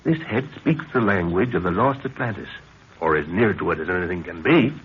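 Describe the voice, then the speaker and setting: Commanding older visionary scientist, low and very animated, 1930s radio adventure style. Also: male voice, a little fast. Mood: eager, intent. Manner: emphatic, declamatory. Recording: dull, noisy 1930s radio recording.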